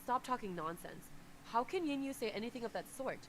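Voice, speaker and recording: Fiercely loyal young male servant, read aloud by a woman who talks himself up to loud, desperate cries. His voice sits higher and louder than her own narration. A steady wash of noise runs under the recording. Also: brisk, rough recording. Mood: insistent. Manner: pleading, rising to desperate cries. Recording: clear background noise.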